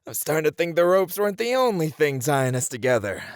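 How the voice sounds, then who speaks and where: Cocky, flirty ninja played by a man, lively delivery. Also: a little high, fairly quick, smooth, dry recording, clean studio sound. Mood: teasing, cocky. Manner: teasing, breezy banter. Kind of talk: audio roleplay acting.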